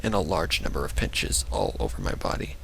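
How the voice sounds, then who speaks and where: A man reading a dark fan fiction story aloud in a dramatic narrating style. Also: a bass voice, sing-song, fairly quick, vocal fry, slight background noise. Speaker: fanfiction reader. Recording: roomy sound with loud music. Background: loud background music.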